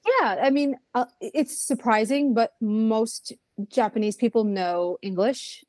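A woman's voice: fairly high pitch, a little slow, darkish tone, no room sound. A young woman, a musician guest, chatting casually in an interview, rambling with lots of fillers and restarts.

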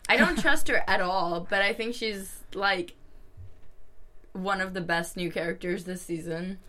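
A woman's voice: mid-range voice, unhurried, sharp tone. A woman chatting casually about a TV episode, giggly and playful. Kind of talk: casual chat recapping a TV episode.

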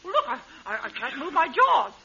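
A woman's voice: sits high, very animated, normal speed, gravelly, bright, forceful tone, lo-fi sound, exaggerated voice. A woman playing a haughty, gullible, easily flustered lady customer in 1940s radio comedy. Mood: flustered outrage. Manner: dramatic, flustered, indignant. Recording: thin hissy 1940s radio recording.

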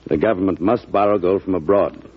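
A low, husky, assured banker. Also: male voice, fairly quick. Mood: insistent. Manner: precise, formal, emphatic. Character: assured, hard-bargaining male banker. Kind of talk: old-time radio drama acting. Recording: muffled vintage radio recording.

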